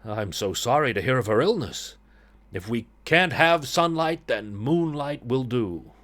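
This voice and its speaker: A man's voice: mid-range voice, expressive, unhurried, fairly bright tone. A man playing a polite, naive, moody young artist in a gothic story, with an earnest, stilted manner.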